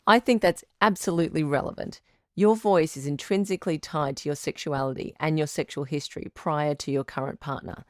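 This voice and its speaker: A woman playing a calm, supportive radio advice doctor in an audio drama, speaking in a measured, articulate, professional counselling tone. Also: sits low, dry recording, clean studio sound, close to an everyday voice. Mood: measured, advising. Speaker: radio advice show host, sex therapist.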